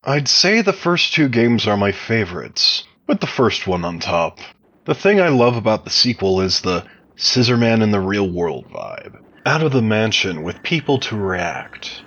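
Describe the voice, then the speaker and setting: A man's voice: lowish voice, very animated, measured pace, a bit bright, muffled recording. A man giving prepared answers as a podcast interview guest, sounding like he reads them out. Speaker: interview guest, game mod developer.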